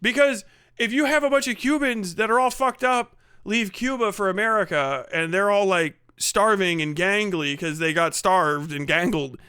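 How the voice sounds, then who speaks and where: A man's voice: high voice, moderate pace, bright, forceful tone, clear, resonant tone. A man streamer ranting casually and sarcastically, swearing and laughing often. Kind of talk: casual live stream rant.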